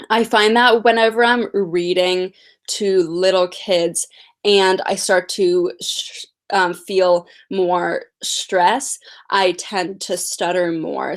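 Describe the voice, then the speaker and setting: A nineteen-year-old woman who stutters, chatting slowly and casually on a livestream as she answers viewer questions. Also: on the low side. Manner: stutters with repeated sounds and blocks. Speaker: livestream host.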